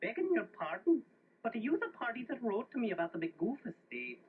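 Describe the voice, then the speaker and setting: Lively, ominous Scottish lawyer. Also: male voice, very high voice, fairly quick, full character voice. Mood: polite, dismissive. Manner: formal, warning, theatrical. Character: cautious, ominous Scottish village lawyer, male. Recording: muffled old story tape, clear music behind.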